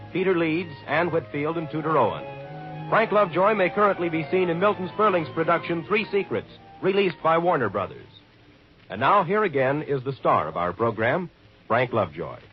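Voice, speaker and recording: A man reading scripted announcements as a polished, showy 1950s network radio announcer. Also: fairly high pitch. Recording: muffled vintage radio broadcast.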